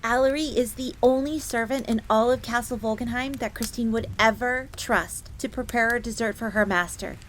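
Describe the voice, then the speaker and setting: A woman's voice: a little high, moderate pace, few pauses, a bit bright, lightly acted. A woman playing a sweet, timid, eager young bunny maid who stammers, speaks deferentially and refers to herself in the third person in a scripted roleplay drama. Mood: proud, eager. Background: ambience, crackling fire.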